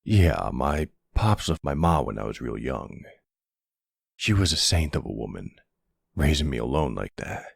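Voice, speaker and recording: A man playing a smooth, flirtatious, middle-aged mafia boss in an ASMR roleplay, courteous and teasing, with a husky, gravelly voice. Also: extremely low pitch, very animated, dry recording, studio quality, full character voice. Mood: warm, flirtatious.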